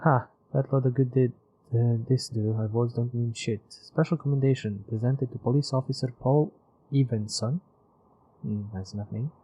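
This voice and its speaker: Male game streamer, Eastern European accent, mid-range voice, casual animated commentary. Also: medium pitch, moderate pace, breathy edge, poor audio. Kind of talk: casual live gameplay commentary.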